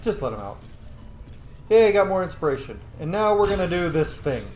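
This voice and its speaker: A man's voice: high-pitched, big pitch swings, brisk, a little mellow, roomy sound, a little hiss or hum. A man hosting a gaming video, giving casual, joking let's play commentary with some roleplay. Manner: joking, offhand.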